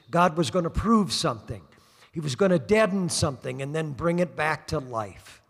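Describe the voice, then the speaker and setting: An older man in his sixties preaching a sermon, sprinkling "praise God" through his lines as he pushes toward fervent exhortation. His voice sits high for a man. It rings with the long reverb of a church hall. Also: a bit bright. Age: 60s. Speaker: pastor. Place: church service.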